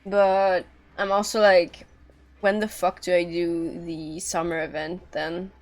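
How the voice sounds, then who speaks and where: A woman's voice: lowish voice, level tone, takes it slowly, soft background music. A woman giving casual live commentary as she streams a game, blunt and sweary.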